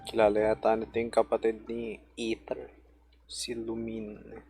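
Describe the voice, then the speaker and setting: A man's voice: lowish voice, monotone, moderate pace, vocal fry, mellow, soft background music. A man with a Filipino accent making casual reaction comments as he streams a game.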